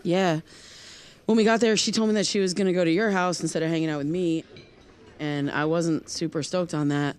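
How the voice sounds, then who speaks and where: A woman playing an awkward, guarded young loner in naturalistic audio drama, speaking in a hesitant, halting way. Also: fairly low pitch, fairly even intonation, darkish tone, clean tone, natural voice. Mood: reflective, uneasy.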